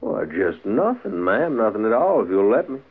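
A man's voice: on the low side, big pitch swings, normal speed, husky. An actor playing a coolly cruel frontier gunman in a 1950s radio western, drawling mockingly polite threats with an American accent. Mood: cold, mocking. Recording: muffled, phone-like 1950s radio recording.

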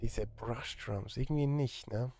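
A man's voice: medium pitch, unhurried, music in the background. A man in his thirties chatting casually on a livestream from a small studio, thinking aloud in informal murmured asides as he works. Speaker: livestreamer and film composer. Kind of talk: casual livestream chat, muttering while working. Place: small home music studio, indoors.

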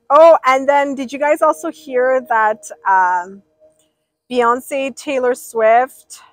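A woman's voice: fairly high pitch, dry recording, rough recording. A young woman livestreaming outdoors by a pool, rambling casually and reading out viewers' names. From Persian.

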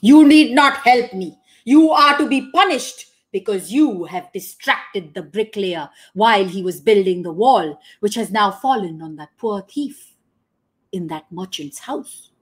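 A woman voicing a stern male king in a told folktale, handing down judgments in a loud, commanding tone. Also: mid-range voice, animated, unhurried, close to an everyday voice.

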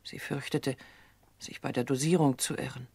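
An actress playing an anxious grown daughter under suspicion in a radio drama, speaking frankly and insistently. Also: female voice, a bass voice, fairly even intonation, moderate pace, gravelly, a little mellow, breathy edge, no room sound, heavily acted. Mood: tense.

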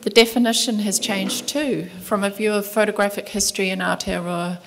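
A middle-aged woman with a New Zealand accent reading a conference paper in a soft, measured voice, from a table mic in a large lecture hall. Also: mid-range voice, steady tone, creaky. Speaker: conference speaker, museum curator. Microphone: table microphone at panel desk.

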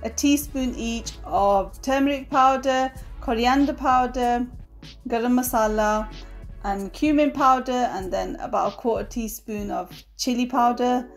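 A woman's voice: on the high side, a little slow, soft background music. Chatty woman narrating her cooking. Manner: relaxed, chatty, with fillers. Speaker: cooking vlogger. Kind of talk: casual voice-over narration.

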